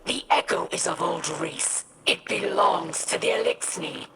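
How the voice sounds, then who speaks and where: A woman playing a resolute young alien warrior in a video game, speaking formally and firmly with a gravelly edge. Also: fairly low pitch, expressive, unhurried, background noise. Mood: scornful, firm. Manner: formal, firm, declarative. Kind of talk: dramatic game voice acting. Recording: loud music under the voice.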